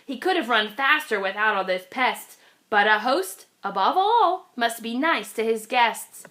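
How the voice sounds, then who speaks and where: High-pitched woman reading a storybook aloud. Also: lively intonation, a bit bright. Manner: dramatic, deliberate phrasing for children. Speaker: children's read-aloud reader. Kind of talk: expressive storybook read-aloud.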